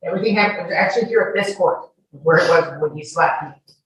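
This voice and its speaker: A woman's voice: lowish voice, fast, a bit bright. A woman giving testimony as a witness in a courtroom, emphatic and defensive in her answers. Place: indoor courtroom. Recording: very echoey, distant, faint hiss.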